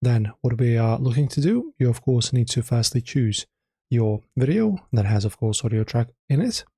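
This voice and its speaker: A man narrating a casual software tutorial in an informal, slightly hesitant way, often correcting himself as he explains. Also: medium pitch, very animated, normal speed, husky, dry recording, clean studio sound. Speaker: tutorial host.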